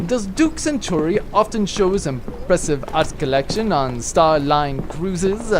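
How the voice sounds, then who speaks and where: A man's voice: a little high, big pitch swings, unhurried, background noise. A man voicing a vain, swaggering one-eyed pirate major in a comedy audio drama, speaking with theatrical bluster. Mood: smug.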